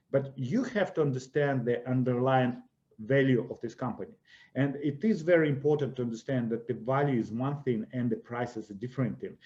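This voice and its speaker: A man's voice: medium pitch, warm tone. Russian-accented man teaching stock investing. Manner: explanatory, repeats "I presume" and "okay". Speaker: investment course presenter. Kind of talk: webinar lecture over slides. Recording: slightly dull lo-fi webinar audio.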